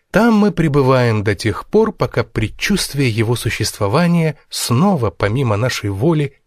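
A man reads a nonfiction book as a scripted narration. His voice sits at an average male pitch, and he phrases deliberately, leaving measured pauses. The sound is dry, with no room around it. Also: no echo. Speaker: audiobook narrator.

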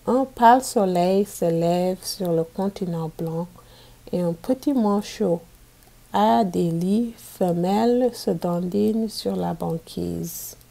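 Slow, mellow woman reading to children. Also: fairly low pitch, gravelly. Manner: deliberate, repeats sentences for learners. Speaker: children's book reader and French teacher. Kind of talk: slow read-aloud of a picture book for children.

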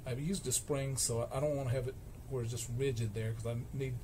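Male repair vlogger, mid-to-low everyday pitch, explains haltingly and informally on a noisy workbench recording. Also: fairly even intonation. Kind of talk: informal hands-on repair narration. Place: indoor electronics workbench. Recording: clearly noisy workshop camera recording.

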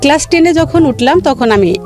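A woman recounts her life as an interview guest, with music clearly behind her. Her voice is high and moves up and down freely as she pauses and repeats key phrases. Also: expressive. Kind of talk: personal storytelling in a radio interview.

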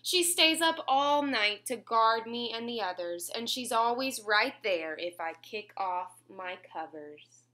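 A woman in her twenties reading a picture book aloud at home, expressively and clearly phrased for young children. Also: fairly high pitch, lively intonation, measured pace, pushed and bright. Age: 20s. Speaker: children's story reader. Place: small room at home, indoors.

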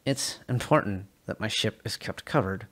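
A man's voice: lowish voice, normal speed, a bit bright. A man voicing a fussy, pompous male customer who drones on. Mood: droning. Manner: droning, pompous.

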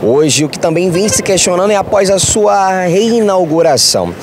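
A man's voice: sits high, expressive, moderate pace. A young Brazilian man giving an on-location TV news report outdoors with a handheld microphone. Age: young adult. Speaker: TV field reporter. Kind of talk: on-location news piece to camera. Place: outdoors beside a street market. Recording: clear street and traffic noise.

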